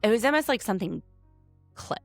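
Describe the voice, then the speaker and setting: A woman's voice: mid-range voice, fairly quick, gravelly, no room sound, faint music behind. A woman with a Southern accent co-hosting a podcast, recapping a reality show in a chatty, playful way. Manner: chatty, animated, playful asides. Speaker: podcast co-host. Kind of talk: casual recap chat.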